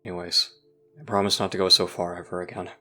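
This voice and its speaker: An actor playing a brooding, guilt-ridden young person recounting painful memories in a somber, halting audio drama monologue. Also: male voice, low voice, little pitch movement, pushed and bright, soft background music. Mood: wry, subdued.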